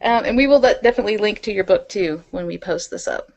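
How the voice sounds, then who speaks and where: A woman's voice: medium pitch, fast talker, vocal fry, lo-fi sound. A woman co-hosting a podcast in casual chat, friendly and lightly teasing. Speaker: podcast co-host.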